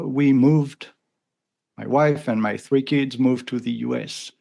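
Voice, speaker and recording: Brief: man, 50s, thick French accent, earnest guest, gravelly, medium pitch. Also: a little mellow. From France. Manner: earnest, thoughtful, frequent restarts. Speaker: podcast guest. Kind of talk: casual conversation.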